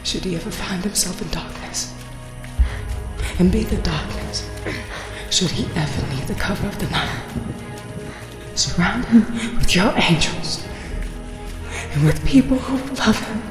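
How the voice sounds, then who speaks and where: A woman plays a desperate, loving mother in an audio drama. She pleads slowly and prayerfully in a hushed voice. Her words echo with a long tail over prominent music. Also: medium pitch, lively intonation, close to an everyday voice. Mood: pleading, prayerful. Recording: echoey, long reverberant tail.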